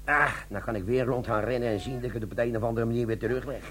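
An actor playing a wily, brazen army sergeant, a chummy smooth talker in a comic radio play. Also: male voice, mid-range voice, steady tone, very fast, fairly bright tone, a little hiss or hum, lightly acted. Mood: grumbling, wheedling. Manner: cheeky, chummy, feigned innocence.

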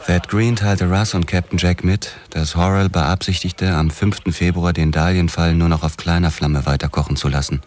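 An actor playing a brooding, obsessed police detective, narrating his own noir story in a measured, sombre manner. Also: low-pitched, fairly even intonation, darkish tone, lightly acted. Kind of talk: scripted noir first-person narration.

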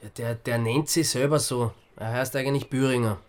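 A man's voice: medium pitch, steady tone, brisk, natural voice. A man with an Austrian accent playing a flippant, reluctant everyman hero in a radio drama, speaking casually. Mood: sardonic, flippant. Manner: casual, sardonic.

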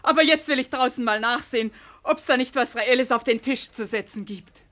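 A woman's voice: on the high side, brisk, pushed and bright. An actress playing a devoted, plain-spoken old peasant wife and mother in a German radio play. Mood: gentle, resigned. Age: older. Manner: earnest, homely, grateful.

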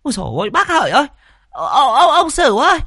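A man voicing a loud, brash, gossipy village woman who exclaims and shrieks. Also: high-pitched, expressive, normal speed, no echo, studio quality, voice pushed up. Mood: shrieking alarm.

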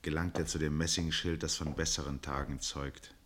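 Narrator for a 60s german radio drama, low pitched, measured, articulate, literary style. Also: male voice, flat delivery, fairly bright tone, slight background noise. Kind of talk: scripted literary narration, 1960s radio play.

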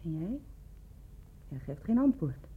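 A lonely, bereaved character who probes and insinuates coolly in a radio play. She speaks in measured, clipped phrases with a husky tone. The sound is thin and boxy, as if down a telephone. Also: fairly low pitch, very animated, heavily acted. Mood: sorrowful, quiet. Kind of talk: radio drama acting. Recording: narrow, dull lo-fi radio play recording.

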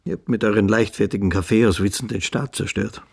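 Gravelly, sardonic Austrian count. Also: male voice, fairly low pitch, fairly quick. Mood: bitter, sardonic. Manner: sardonic, declamatory. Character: ruined, bitter, sardonic aristocratic count, theatrically self-mocking. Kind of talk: literary radio play monologue.

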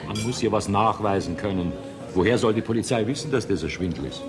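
Actor as a deep-voiced, officious police chief, music behind. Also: male voice, fast, lightly acted. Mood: officious, unmoved. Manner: official, unhelpful, lecturing. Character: stolid, officious police president shrugging off complaints. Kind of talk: radio play acting. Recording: 1970s radio play, loud music behind.

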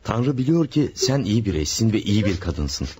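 A man's voice: lowish voice, very animated, normal speed, noisy background. An actor in a radio drama playing a tormented middle-aged husband who is obsessed with another woman. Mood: weary. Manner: pleading, then shouting in anger.

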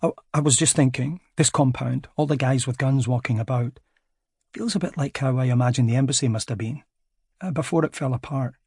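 A man's voice: medium pitch, a little fast, a little mellow, husky, no room sound, studio quality. A man voicing a mild, dryly ironic Scottish man in his thirties, with a Scottish accent. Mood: polite. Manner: polite, understated, dryly ironic.

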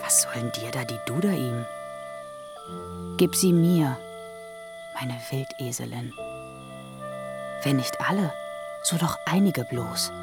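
Rahel: yearning, coaxing younger sister, very low voice, music under. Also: female voice, animated, slow pace, long pauses, exaggerated voice. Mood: pleading. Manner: pleading, coaxing, fervent. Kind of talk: radio drama acting.

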